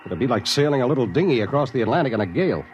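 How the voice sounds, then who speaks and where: An actor playing a cautious, easily alarmed crewman in a 1950s radio drama, speaking in an incredulous, excitable manner. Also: mid-range voice, very fast, lightly acted. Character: alarmed, cautious crewman doubting a daring plan. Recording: muffled old radio recording, faint noise.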